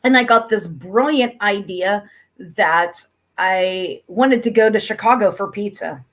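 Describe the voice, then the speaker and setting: A woman's voice: mid-range voice, unhurried. A middle-aged woman from Pennsylvania, hosting a casual chat interview in a chatty, upbeat way with frequent laughter. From Pennsylvania, USA. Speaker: host.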